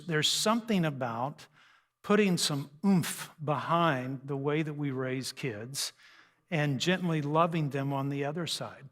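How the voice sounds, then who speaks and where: Older male seminar speaker, conversational and humorous, higher-set voice, big reverberant room. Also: fairly bright tone. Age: 60s or older. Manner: folksy, conversational, joking, self-deprecating. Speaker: speaker on raising teens, radio host. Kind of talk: humorous seminar talk to a church audience. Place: large church hall, speaking to an audience. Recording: live hall with long reverb.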